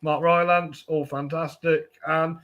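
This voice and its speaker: A man co-hosting a podcast, chatting casually about films in a halting way that tends to trail off. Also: a little high, flat delivery, lo-fi sound. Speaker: podcast co-host. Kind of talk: casual film chat.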